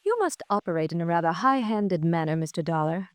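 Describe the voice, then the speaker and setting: A woman's voice: fairly low pitch, animated, brisk, no echo, natural voice. An actress playing a poised, well-to-do wife in her late thirties in an old-time radio drama, formal and defensive. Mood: reserved, formal. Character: poised, genteel wealthy wife in late thirties, guarded and affronted.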